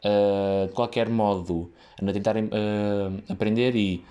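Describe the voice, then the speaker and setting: A young man speaks in a European Portuguese accent, his voice sitting fairly low. He tells a casual story from his job as a waiter, drifting into side tracks and correcting himself often. His delivery is loose and chatty, with plenty of fillers. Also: steady tone, a little slow, warm tone. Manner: rambling, digressive, many fillers and restarts. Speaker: waiter telling a work story.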